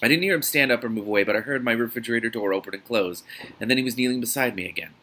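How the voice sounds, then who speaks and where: A man reading a novel aloud as host of a reaction series, in a dramatic, expressive manner. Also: mid-range voice, rapid-fire.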